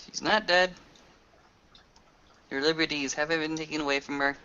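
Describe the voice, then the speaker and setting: A man's voice: a little high, steady tone, moderate pace, pushed and bright, smooth, muffled recording, pitched above the performer's own voice. A man playing a blunt, foul-mouthed, easily baffled member of a werewolf pack in a tabletop roleplaying game. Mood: firm. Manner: blunt, swearing, emphatic.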